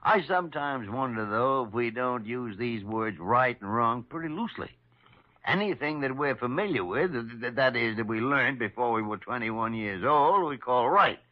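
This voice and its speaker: An old lo-fi radio recording of a crusty older male doctor played by a man. His delivery swings up and down in lively fashion, gruff and folksy, with a wry argumentative streak. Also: mid-range voice, moderate pace, natural voice. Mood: argumentative, reflective. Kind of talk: 1950s radio drama acting. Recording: dull lo-fi 1950s radio recording.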